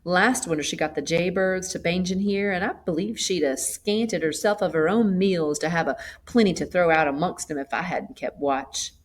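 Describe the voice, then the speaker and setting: A woman's voice: on the low side, moderate pace, few pauses, close to an everyday voice. A woman voicing a chatty, hospitable old country grandmother in a storybook, with a folksy, gossipy manner. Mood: affectionate, chatty. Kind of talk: storybook character voice.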